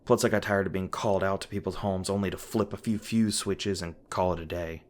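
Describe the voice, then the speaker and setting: A man voicing an awkward young monster hunter in an audio roleplay, low and hesitant, stumbling over his words as he looks out for the listener. There is a faint hiss under the voice. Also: fairly even intonation, brisk, lightly acted. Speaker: roleplay voice actor.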